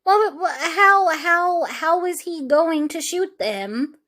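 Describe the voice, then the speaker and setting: A woman's voice: very high voice, expressive, slow, a bit bright. A woman hosting a true crime podcast, giving casual commentary in a conversational, opinionated and emphatic way.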